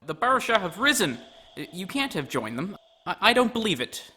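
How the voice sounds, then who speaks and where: A man's voice: high voice, very animated, moderate pace, very noisy. A man playing a wronged captive prince in a fantasy radio play, earnest, impassioned and dramatic.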